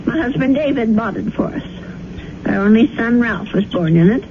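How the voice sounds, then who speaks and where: An older woman acting in a 1970s radio drama, playing a gentle, troubled widowed landlady with a hesitant, genteel manner. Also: a little high, lively intonation, normal speed, natural voice. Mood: kindly. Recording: muffled, noisy 1970s radio recording.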